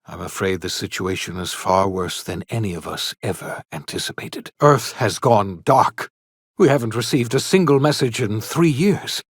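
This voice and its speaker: Casting: elderly rogue scientist, game drama. Husky mid-range voice, self-loathing, theatrical, emphatic delivery. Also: male voice, medium pitch. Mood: grave. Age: older. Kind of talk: dramatic video game voice acting.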